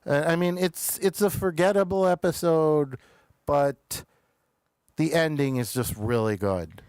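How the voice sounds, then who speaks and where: Slow-talking man with a clear, dry sound. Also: fairly high pitch, a little mellow, no room sound. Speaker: show host reviewing television episodes. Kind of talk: casual review chat.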